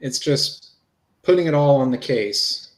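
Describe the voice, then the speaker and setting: Patient, casual investigator in an interview reenactment, read by a man; medium-pitched voice in an echoey room. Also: expressive, a little slow, natural voice. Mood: patient, explaining. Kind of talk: dramatic reading of a police interview transcript. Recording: roomy, echoey sound.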